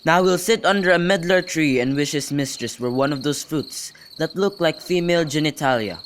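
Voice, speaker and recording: A man's voice: fairly high pitch, moderate pace, close to an everyday voice. A young man playing a bawdy, mock-grand friend in an amateur Shakespeare radio drama, with theatrical, teasing delivery. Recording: noisy home recording with clicks.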